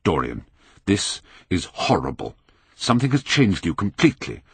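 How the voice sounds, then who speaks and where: A man's voice: sits low, big pitch swings, moderate pace, fairly bright tone, husky, no room sound, heavily acted. A man voicing an earnest painter in an audiobook, British, grave and pained as he pleads with a friend. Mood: distressed. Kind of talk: audiobook character acting.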